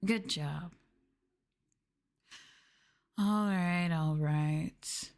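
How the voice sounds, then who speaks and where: A woman streamer doing a sadistic ASMR-style roleplay, talking down to her listeners in a teasing, mocking way with playful drawn-out emphasis. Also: lowish voice, a little mellow. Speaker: VTuber streamer, host.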